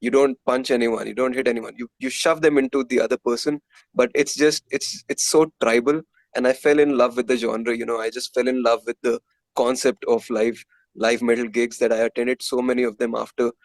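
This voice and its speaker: Casting: male musician guest, Indian accent, mid-pitched voice, dry recording, candid conversational storytelling. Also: steady tone, a little fast, no echo, poor audio. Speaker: musician being interviewed. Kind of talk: casual interview storytelling.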